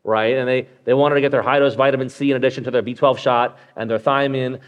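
Male podcast host, conversational clinician explaining cases, average pitch, a bit muffled live recording. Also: fairly even intonation, quick pace. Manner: conversational, lots of fillers and check-ins.

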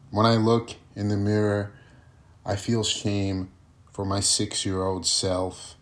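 A man reads his own poetry in a low voice. His delivery is slow and solemn, each short phrase set down with deliberate care. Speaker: poet reading own poem.

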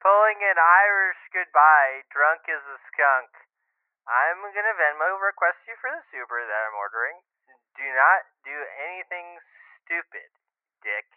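A man's voice: on the high side, animated, measured pace, smooth, dry recording. An actor playing a brash, drunk, teasing male drinking buddy in an audio drama, crude and slurred. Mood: annoyed, scolding. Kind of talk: found-footage audio drama acting. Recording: thin, tinny phone line.

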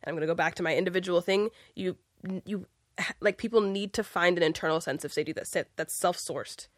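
A woman's voice: on the low side, fast, no room sound, clean studio sound. A woman in casual conversation, explaining earnestly in bursts with fillers.

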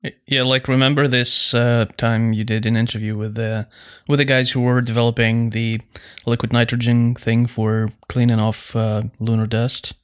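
A man chatting casually as a podcast co-host, in halting phrases with repeated words. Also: mid-range voice, level tone, clean tone. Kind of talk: casual chat.

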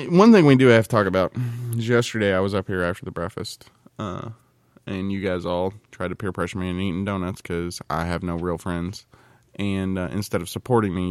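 A man's voice: deep voice, clean tone, no echo. A man hosting a podcast in casual banter, with a teasing, mock-aggrieved manner. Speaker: podcast host.

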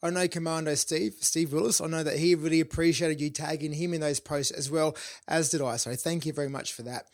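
A man hosting his own self-improvement podcast, talking solo in an upbeat, informal way with the odd filler, his voice a little hoarse. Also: on the high side, fairly even intonation, fairly quick, no echo, studio quality. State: slightly hoarse, losing his voice. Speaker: podcast host.

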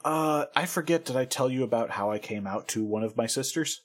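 A woman in her thirties discussing albums casually as a podcast co-host, giving measured, considered opinions. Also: extremely low pitch.

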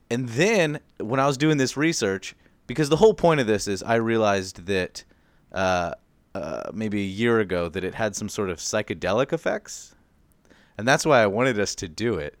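A man's voice: fairly low pitch, dry recording. A man co-hosting a podcast, explaining his research in an informal, loose, self-deprecating way with mild slang. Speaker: podcast co-host presenting research. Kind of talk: casual conversational explaining.

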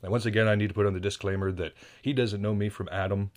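American man, low voice, casual solo podcast, rambling, self-deprecating. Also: steady tone, fast. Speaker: podcast host. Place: indoors at home.

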